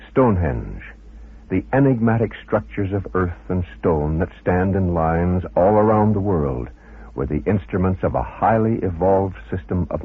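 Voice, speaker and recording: A man reading scripted narration in a formal, measured manner. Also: low voice, husky. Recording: muffled phone-like old radio recording.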